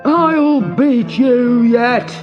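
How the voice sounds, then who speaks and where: An actor voicing a fearsome, blustering giant of a lake in a children's storybook, with drawn-out, theatrical exclamations. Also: mid-range voice, big-room sound, highs cut off, music in the background, pitched above the performer's own voice. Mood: enraged. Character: fearsome green-bearded lake giant, male, blustering and pleading. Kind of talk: children's storybook character reading.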